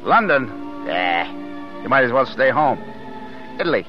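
A gruff, cocky American working man in a 1930s picture. He talks in blunt, clipped slang at a middling pitch, on a dull old soundtrack with music underneath. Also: big pitch swings, a little slow. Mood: cocky, guarded. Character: gruff, cocky working-class tough guy, Bill. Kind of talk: 1930s film acting.

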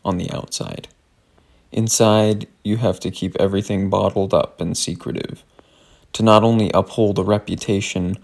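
A man reads a scripted letter aloud in an earnest, deliberate way. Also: deep voice, vocal fry, darkish tone. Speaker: reader. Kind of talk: scripted dramatic reading of a letter.

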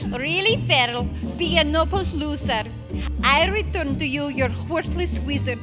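An actress playing a bossy, scheming tango temptress who speaks theatrical broken English in comic audio theatre. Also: female voice, high-pitched, moderate pace, close to an everyday voice. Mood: triumphant, haughty. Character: bossy, scheming, seductive female tango partner. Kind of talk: comic audio theatre before a live audience. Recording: live stage recording with music behind.

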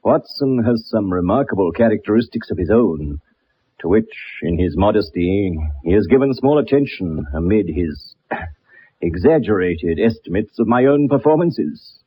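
A haughty British detective for radio drama, precise and dryly superior. His pitch moves widely and the voice is a little husky. The old recording is dull and lo-fi. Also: expressive, normal speed. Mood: reflective, dry. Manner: pompous, precise, formal. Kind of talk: radio drama acting with first-person narration.

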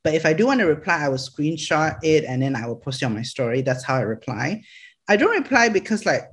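A young man with a slightly raised pitch. He chats loosely in an interview, stringing thoughts together with "like" and breaking into laughs. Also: very animated, fast talker, a bit bright. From Malaysia. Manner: rambling, constant "like" fillers, laughs often. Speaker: social media creator, guest. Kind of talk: casual interview chat.

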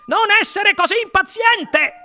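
A storybook narrator voicing an eccentric, excitable, impatient candy-factory owner in a theatrical, exclamatory way. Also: sits high, moderate pace, slight background noise, pitched above the performer's own voice, full character voice. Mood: impatient, scolding.

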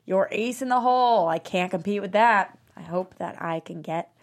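A woman's voice: mid-range voice, normal speed, close to an everyday voice. Woman playing a sarcastic young girlfriend. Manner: dry, sarcastic, teasing. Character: sassy young adult girlfriend, wisecracking. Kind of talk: script table read.

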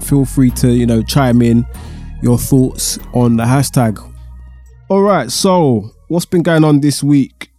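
A man hosting a podcast in a chatty, rambling way, his voice husky and stuffy-nosed from a cold. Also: medium pitch, mellow, dry recording. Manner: chatty and rambling, with many fillers. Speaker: podcast host. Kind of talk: casual solo podcast monologue.

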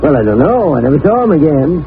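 An actor playing a folksy old stable keeper and deputy with plain-spoken country talk in a 1940s radio drama. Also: male voice, a little high, big pitch swings, fast. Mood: reminiscing. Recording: tinny old radio recording with organ music.

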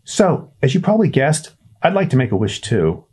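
A man's voice: medium pitch, big pitch swings, moderate pace, mellow, slightly rough, voice pushed up. A man voicing a shy, lonely new boy at school who talks hesitantly and apologetically. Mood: shy, hopeful.

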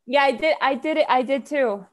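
A teenage girl in playful, bubbly chat with a friend. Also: high voice. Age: teens. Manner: bubbly, fast back-and-forth, playful. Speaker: co-host. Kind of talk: casual chat.

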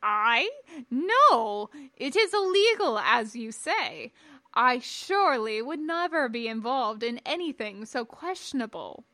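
A woman's voice: on the high side, big pitch swings, slow pace, sharp tone, dry recording, full character voice. A woman voicing a thin, timid male humanoid, a nervous and pleading cringer in a story reading. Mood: nervous, evasive.

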